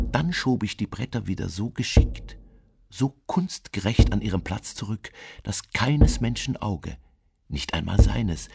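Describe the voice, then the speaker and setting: An actor playing an obsessive, mad murderer who insists he is sane, confessing in a dramatic radio monologue with hushed intensity and deliberate emphasis. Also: lowish voice, vocal fry, husky, exaggerated voice. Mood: coldly boastful.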